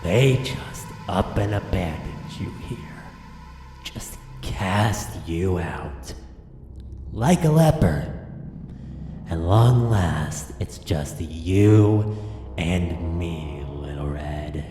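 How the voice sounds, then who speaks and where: Deep slow taunting masked monster, audio drama villain, echoey hall. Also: male voice, lively intonation, full character voice. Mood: mocking menace. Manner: slow, taunting, singsong rhyming. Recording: echoing hall reverb, loud music behind.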